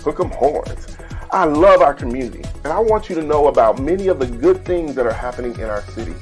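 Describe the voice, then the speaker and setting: A man's voice: on the high side, animated, a little fast, faint music behind. Upbeat motivational man hosting a podcast. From Texas. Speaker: podcast host. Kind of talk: scripted podcast intro.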